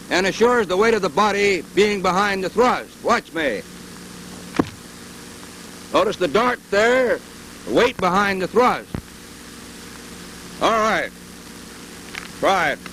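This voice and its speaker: A man giving brisk, commanding military drill instruction with barked orders, on a vintage training film soundtrack. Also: sits high, animated, bright, forceful tone. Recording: noisy vintage 1930s-40s training film.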